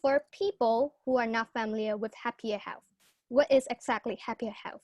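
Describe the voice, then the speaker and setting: A woman's voice: a little high, fairly even intonation, moderate pace, darkish tone, dry recording, lo-fi sound. A young woman co-hosting a podcast interview, asking friendly, casual questions through wired earphones on a video call. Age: young adult. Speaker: podcast co-host. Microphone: wired earphone mic on video call.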